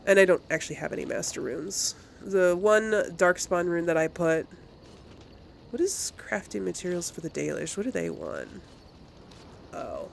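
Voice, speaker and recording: A woman's voice: fairly low pitch, sing-song, moderate pace, vocal fry, a little hiss or hum. A woman giving casual, chatty gameplay commentary to her viewers, often thinking aloud. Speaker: host of a video game let's play series.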